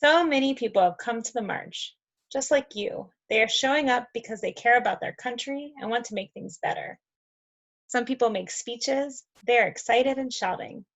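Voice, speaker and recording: Higher-voiced woman reading to children, creaky edges. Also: moderate pace, rough recording. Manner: expressive, encouraging, addressing young children. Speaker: read-aloud host for children. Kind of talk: children's picture book read-aloud with asides.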